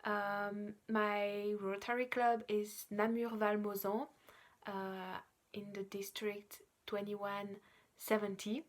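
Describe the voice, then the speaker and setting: A young woman from Belgium speaking slowly and haltingly about her time as an exchange student. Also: mid-range voice, flat delivery. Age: young adult. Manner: slow, halting, with pauses. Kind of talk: personal testimonial.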